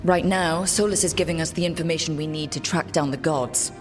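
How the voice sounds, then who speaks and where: A woman's voice: low voice, steady tone, fairly quick, faint music behind, close to an everyday voice. An actress playing an easygoing, wry woman who leads adventurers in a video game, talking casually and dryly.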